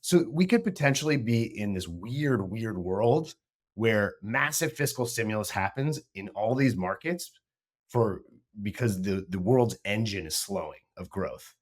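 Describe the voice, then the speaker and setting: A man co-hosting a casual market discussion, animated and full of trailing restarts. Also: on the low side, unhurried. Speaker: podcast co-host.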